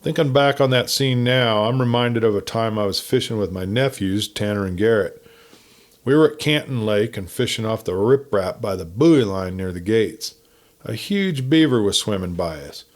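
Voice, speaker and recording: A man's voice: lowish voice, lively intonation, moderate pace. A man reading a folksy first-person story in a campfire-tale narration style.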